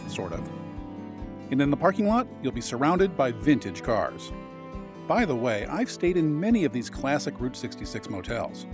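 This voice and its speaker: A man narrating a road trip travel video in a measured, informative tour-guide manner. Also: a little high. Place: voice-over, not on location. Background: guitar music.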